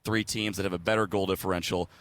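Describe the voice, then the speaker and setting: Low-voiced man giving dry-sounding sports analysis. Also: fairly even intonation, fast, fairly bright tone, dry recording. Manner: explanatory, casual, checks in with "right?". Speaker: sports play-by-play broadcaster, guest analyst.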